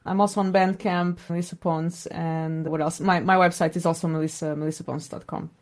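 A woman's voice: sits low, fairly even intonation, fairly quick. A woman in a casual interview, speaking reflectively and tentatively as she searches for her words. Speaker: interview guest, sound designer.

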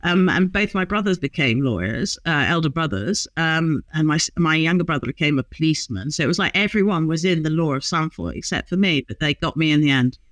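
Woman telling lively anecdotes. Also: low-pitched, fairly quick, few pauses, dry recording. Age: 50s. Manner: lively, humorous storyteller. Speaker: guest, lawyer. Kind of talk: casual interview chat.

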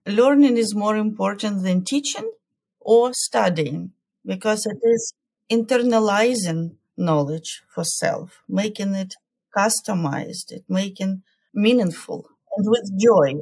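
A woman, a culture coach answering interview questions in a careful, measured way with hesitant fillers. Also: medium pitch, a little mellow, husky. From Ukraine.

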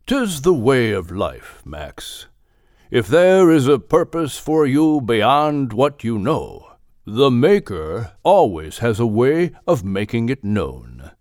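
A man voicing the wise, fatherly Maker in an audiobook, speaking in a gentle, solemn, measured way. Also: mid-range voice, big pitch swings, no room sound, studio quality. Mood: calm, reassuring.

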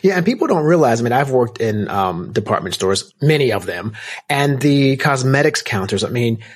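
Husky-voiced man hosting an interview. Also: medium pitch, expressive, normal speed. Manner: affirming, reflective, draws out lessons. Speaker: podcast host.

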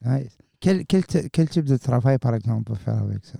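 A man's voice: mid-range voice, expressive, brisk, vocal fry, mellow, no room sound, studio quality. A man hosting a radio podcast, interviewing casually and informally, with English interjections dropped into his French.

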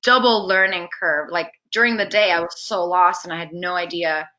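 A woman's voice: lowish voice, rough recording. A woman from Venezuela with Spanish as her native language, talking casually and expressively as an interview guest. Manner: chatty, expressive, quick to laugh. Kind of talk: casual conversational interview, personal stories.

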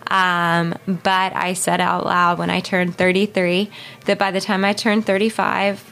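A woman's voice: fairly low pitch, little pitch movement, a little slow, creaky, fairly bright tone. Woman, thirties, interview guest, casual, hesitant, fillers and restarts. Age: 30s. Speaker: interview guest, marathon runner.

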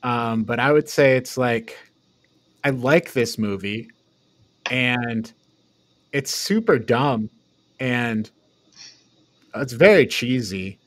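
A man's voice: mid-range voice, animated, takes it slowly, pauses often, no room sound. Casual man with a close, dry sound. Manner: informal, prone to chuckling and swearing. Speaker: podcast panelist reviewing films. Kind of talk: casual discussion.

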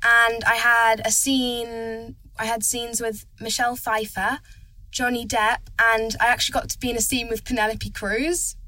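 A woman's voice: fairly high pitch, little pitch movement, moderate pace, pushed and bright, poor audio. A teenage girl, a young actor, chatting eagerly as a guest in a casual interview, with lots of "like". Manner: chatty, eager, says "like" a lot.

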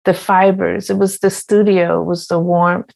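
Woman, low gravelly voice, slow and even, reflective storytelling. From partly Ghanaian heritage. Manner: slow, musing, with fillers and repeats. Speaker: quilt artist guest.

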